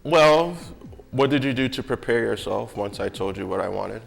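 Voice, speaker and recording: A man's voice: mid-range voice, expressive, normal speed, creaky, soft background music. A man conducting a casual interview, putting probing questions to his guest in a conversational way. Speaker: interviewer and commissioning client.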